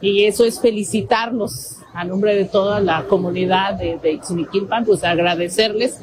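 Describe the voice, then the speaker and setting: Mexican woman merchant, halting speech with fillers, field recording at a community event with clear background noise. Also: mid-range voice, fairly even intonation. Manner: hesitant, many fillers and restarts, grateful. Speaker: interviewee, local merchant. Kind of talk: spontaneous interview statement.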